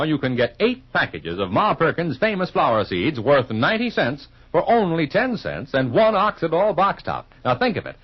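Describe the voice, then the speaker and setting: A man working as a radio announcer, delivering an emphatic 1950s commercial pitch in a husky voice. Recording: muffled 1950s radio recording.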